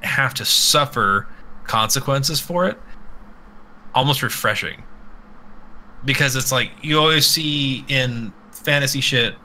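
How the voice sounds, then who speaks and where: A man hosting a casual live podcast, rambling and swearing freely as he gives animated opinions. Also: medium pitch, takes it slowly, pauses often, rough recording. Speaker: podcast host. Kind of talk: casual opinionated live chat about games.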